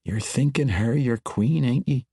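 A man voicing a young female dwarf warrior who talks in a rough dwarven dialect and presses with blunt questions. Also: mid-range voice, unhurried, dark tone, no echo, clean studio sound, voice pushed up. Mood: probing.

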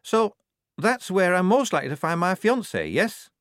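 A man voicing a nervous, wistful young woman soldier, hushed and hesitant, in an audiobook. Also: high voice, big pitch swings, moderate pace, no room sound, studio quality, pitched above the performer's own voice. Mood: wistful, anxious.

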